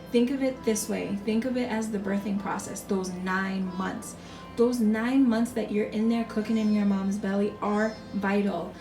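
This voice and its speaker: A woman with a froggy, strained voice giving an earnest, encouraging tarot reading in casual talk, often repeating herself. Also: a little high. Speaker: tarot card reader. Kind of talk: casual spiritual pick-a-card reading. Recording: echoey room, far from mic, music behind. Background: music.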